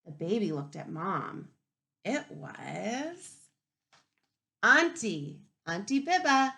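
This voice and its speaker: A woman teacher reading a picture book aloud to young children in an expressive, instructive way, pausing to ask questions. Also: mid-range voice, creaky. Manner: animated, instructive, asking the listeners questions.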